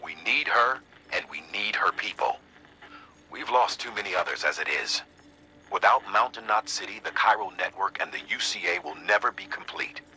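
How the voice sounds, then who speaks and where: An actor playing an earnest, grave official in a video game, explaining things in a measured, conversational, persuasive way. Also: male voice, lowish voice, animated, sharp tone, a little hiss or hum, poor audio. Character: grave male second-in-command briefing an agent, earnest, folksy. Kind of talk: dramatic video game exposition.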